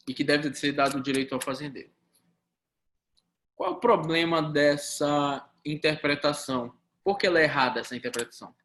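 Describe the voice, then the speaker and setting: A man in his thirties with a Paraense Brazilian accent teaches a law class, firing rhetorical questions and addressing his students directly. His voice sits a little high for a man. The sound carries a noticeable room echo. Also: a bit bright. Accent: Paraense Brazilian Portuguese. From Pará, Brazil. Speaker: law lecturer. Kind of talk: recorded law lesson.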